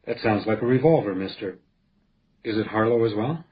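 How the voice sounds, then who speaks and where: An actor playing a shrewd, defiant house detective, speaking in a precise, dryly wry way in a radio crime drama. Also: male voice, lowish voice, lively intonation, brisk, lo-fi sound, natural voice. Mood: tense, wry.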